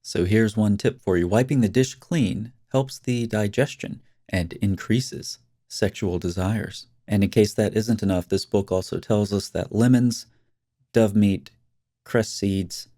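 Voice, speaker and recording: Low, sarcastic male commentator. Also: measured pace, dark tone, no room sound, studio quality. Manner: sarcastic, mocking. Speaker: commentary video host. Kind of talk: scripted commentary.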